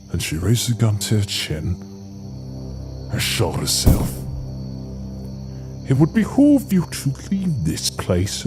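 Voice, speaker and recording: A man plays an old groundskeeper in a horror audio drama, ominous yet polite. He speaks slowly and theatrically, with formal phrasing and wide swings of pitch. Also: creaky, heavily acted. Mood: dire warning. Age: older.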